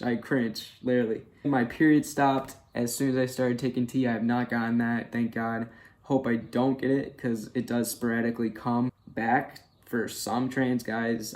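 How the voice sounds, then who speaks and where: A man's voice: mid-range voice, darkish tone. A twenty-one-year-old man chatting candidly in a casual personal vlog, with many fillers. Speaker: vlogger.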